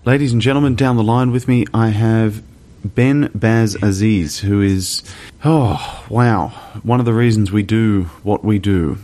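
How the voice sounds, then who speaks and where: A man's voice: lowish voice, measured pace, hardly any pauses, dark tone. A man hosting a podcast, conducting an interview over the line with short prompts. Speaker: podcast host. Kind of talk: phone interview.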